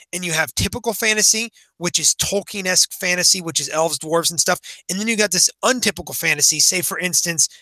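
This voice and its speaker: A man with a fairly high, forceful, bright voice talks casually as a podcast co-host. He is enthusiastic and emphatic, often repeating himself. The sound is close and dry. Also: no room sound, poor audio. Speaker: podcast co-host and fantasy author.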